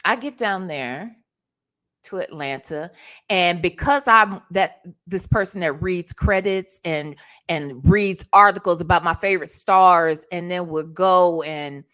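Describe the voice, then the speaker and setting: A woman's voice: on the low side, unhurried. A middle-aged American woman from Ohio telling stories casually as a livestream host, emphatic and sassy, often checking in with the listener. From Ohio, United States. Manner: animated, emphatic, sassy, conversational with tag questions. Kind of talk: casual storytime chat.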